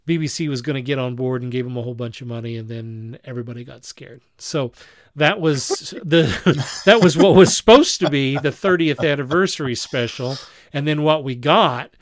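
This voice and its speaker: A man hosting a podcast in a casual review chat, with a halting, rambling delivery in which he often corrects himself. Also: mid-range voice, no echo. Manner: chuckles while talking. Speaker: podcast host.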